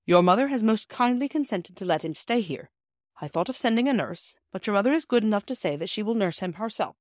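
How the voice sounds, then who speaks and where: A woman reading the part of a kindly, courteous old gentleman in an audiobook. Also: mid-range voice, expressive, brisk, dry recording, studio quality, voice pushed up, close to an everyday voice.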